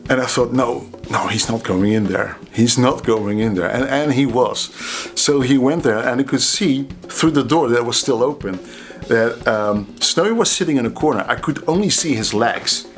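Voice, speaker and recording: A middle-aged man from the Netherlands speaks with a clear Dutch accent. His voice sits at an ordinary, mid pitch. He talks casually and anecdotally, and is quick to laugh at his own stories. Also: faint music behind. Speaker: drummer. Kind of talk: casual storytelling to camera.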